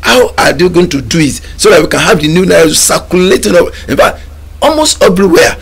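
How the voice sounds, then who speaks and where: A man's voice: high voice, animated, brisk, gravelly, breathy edge. A middle-aged man with a Nigerian accent, a guest on a studio talk show, talking emphatically and repeating words as he gathers his thoughts. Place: TV studio lounge set.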